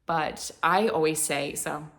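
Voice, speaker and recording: A woman's voice: deep voice, fairly even intonation, slow, fairly bright tone. A woman from Florida with a light Southern accent, rambling casually to camera with many restarts. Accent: American Southern. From Florida, United States. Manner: meandering, with many restarts and fillers. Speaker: vlogger.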